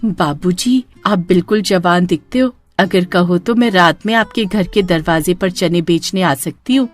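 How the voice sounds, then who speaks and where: A woman's voice: lowish voice, a little slow, soft background music, close to an everyday voice. A woman voicing a sweet, coy young village girl who sells chickpeas in a cartoon story. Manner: coy, sweet, innocent-sounding. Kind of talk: Hindi cartoon horror story.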